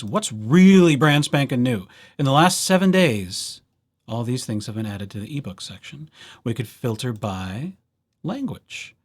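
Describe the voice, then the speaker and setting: A man giving a casual software walkthrough as a librarian, in a playful, wry and chatty way. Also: mid-range voice. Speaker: librarian presenting a tutorial series.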